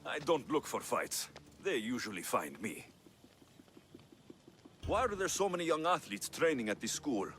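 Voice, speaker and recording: An actor playing a cocky, confident Greek mercenary hero in a video game, dry and taunting. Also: male voice, medium pitch, big pitch swings, moderate pace, long pauses, a bit bright. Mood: serious.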